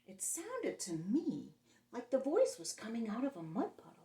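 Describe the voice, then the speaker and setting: A woman's voice: fairly high pitch, huge pitch swings, moderate pace, dark tone, roomy sound. A woman hosting story time, reading a picture book expressively and explaining things simply for young children.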